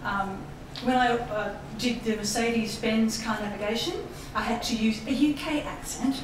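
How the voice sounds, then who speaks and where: A woman with an Australian accent giving a lively public talk about voice work, laughing often and mimicking accents. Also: on the high side, normal speed, recorded from a distance, background noise. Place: embassy hall. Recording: echoey hall audio from afar.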